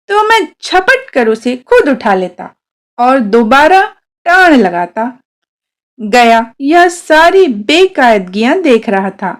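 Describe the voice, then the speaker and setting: A woman reads a story aloud as its first-person narrator. Her voice is high and moves up and down in an animated way. She takes her time, pacing the telling slowly and expressively.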